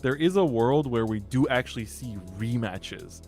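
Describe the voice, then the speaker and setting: A man's voice: mid-range voice, lively intonation, moderate pace, darkish tone, faint music behind. A man co-hosting a live esports bracket draw, commentating and musing aloud with small hesitations. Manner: thinking aloud, hesitant fillers. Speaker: esports caster.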